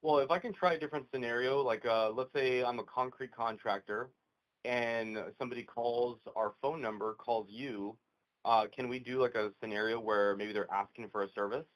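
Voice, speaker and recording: A man's voice: mid-range voice, steady tone, moderate pace, low-quality recording. A man talking casually and a little hesitantly, asking questions as a business-owner customer. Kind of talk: casual conversation.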